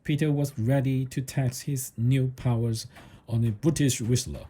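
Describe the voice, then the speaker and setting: Male storybook reader: mellow, a little husky, unhurried and deliberate, expressive in pitch. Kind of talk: read-aloud children's story narration.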